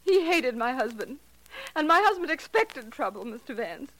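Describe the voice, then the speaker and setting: An earnest widow in an old radio play. Her voice is high, delivered in dramatic vintage style. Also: big pitch swings, normal speed, a bit bright, exaggerated voice, low-quality recording. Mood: urgent. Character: earnest widow giving testimony. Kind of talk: 1940s radio acting.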